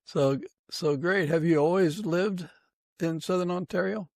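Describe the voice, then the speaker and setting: Slow, higher-pitched male podcast host. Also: vocal fry, no echo. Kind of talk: scripted introduction and interview questions.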